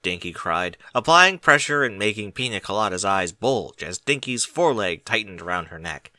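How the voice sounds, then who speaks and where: Bright, expressive man narrating a story. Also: lowish voice, normal speed, no echo. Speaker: audiobook reader of fan fiction.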